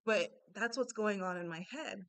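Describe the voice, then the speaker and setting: A woman with a slight Panamanian Spanish accent telling personal stories in a casual, animated monologue, often checking in with "right?". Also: mid-range voice, normal speed, smooth. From born in Japan, Panamanian family. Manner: animated, conversational, frequent "right?" asides. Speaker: self-help speaker.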